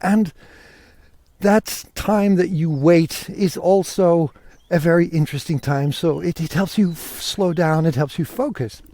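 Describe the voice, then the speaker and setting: A man hosts a solo podcast in a slightly high, mellow voice. His talk is casual, conversational and keen, recorded very dry in the open air on a portable rig. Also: a little slow, no room sound. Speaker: podcast host. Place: outdoors, walking through countryside fields.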